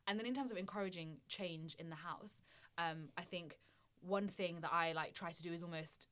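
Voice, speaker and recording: Woman from somalia chatting, lowish voice, lots of "you know"s, thinking out loud. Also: normal speed. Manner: thoughtful, lots of fillers. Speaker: guest. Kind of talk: casual conversation.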